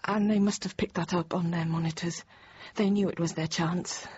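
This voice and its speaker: An actress playing a thirty-year-old Parisian teacher, recalling an ordeal in measured, halting, controlled radio drama narration. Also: female voice, lowish voice, steady tone, darkish tone, close to an everyday voice. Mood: tense recollection. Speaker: lead actor and narrator. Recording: dull, noisy radio drama recording.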